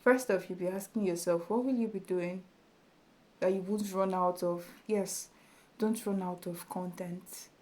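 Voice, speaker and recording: A woman with a small, soft voice talking casually to her camera as a YouTube tutorial creator, chatty and encouraging with many fillers. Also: lowish voice, steady tone, unhurried. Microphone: camera in front of her.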